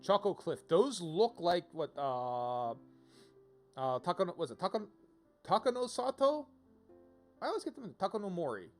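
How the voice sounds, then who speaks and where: A man's voice: high-pitched, very animated, normal speed, long pauses, a little mellow, faint music behind. A man casually commentating on a video game as he streams, rambling and thinking aloud as he reads out options.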